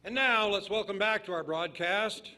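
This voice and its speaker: A man's voice: high voice, normal speed, sharp tone. A man playing a 1940s radio host introducing acts, on a noisy recording. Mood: welcoming.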